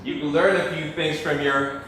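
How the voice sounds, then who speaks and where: A man's voice: fairly high pitch, steady tone, normal speed, fairly bright tone, lightly acted. Cocky younger brother in echoey theatre, acted by a man. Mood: flippant. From Tanzania. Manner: wisecracking, theatrically projected. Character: cocky, flippant younger brother, spoiled heir. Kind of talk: stage play dialogue. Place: theatre stage, picked up from a distance. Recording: echoey theatre, distant mic, faint noise.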